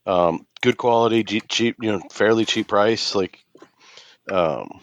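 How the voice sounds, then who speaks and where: A man with a fairly low voice, recorded dry with no room sound. He speaks as a podcast co-host in casual, loosely phrased conversation. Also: measured pace, no room sound. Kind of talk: casual chat.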